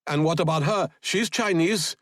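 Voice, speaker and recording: A man's voice: a little high, normal speed, fairly bright tone, no echo, studio quality, pitched above the performer's own voice, natural voice. A man voicing a hostile, suspicious border soldier in an audiobook, curt and barking.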